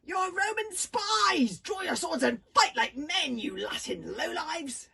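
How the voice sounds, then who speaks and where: A woman voicing a fierce, boastful little girl warrior, shrill and shouting. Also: animated, gravelly, heavily acted. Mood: furious. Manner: shrill, shouting, rapid chatter. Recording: distant mic with faint noise.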